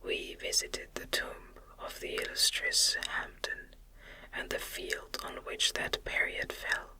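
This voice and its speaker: A woman reads a classic novel aloud as ASMR, whispering in a gentle, soothing, unhurried way with short phrases. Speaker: audiobook reader.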